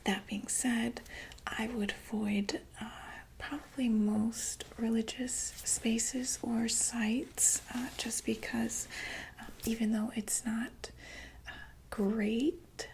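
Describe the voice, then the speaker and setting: A woman whispering breathily in an ASMR medical roleplay, explaining things as a calm doctor in a gentle, hesitant, clinical way. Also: a little high, takes it slowly, a little hiss or hum, low-quality recording. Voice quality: breathy, mostly unvoiced whisper. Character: calm, attentive doctor to monsters.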